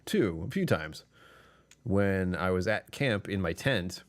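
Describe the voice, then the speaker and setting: Tired, very low-voiced man rambling. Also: expressive, slow pace. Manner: rambling, chuckling, talking to chat. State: tired, voice lower than usual. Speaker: livestream host. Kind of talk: casual live stream chat.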